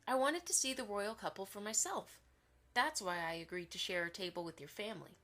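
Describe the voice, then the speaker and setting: A woman plays a haughty, shrewd pony publisher in a fantasy radio play. Her voice sits fairly high, and she speaks in a stern, deliberate, reproving manner. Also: fairly bright tone. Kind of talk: audio drama dialogue.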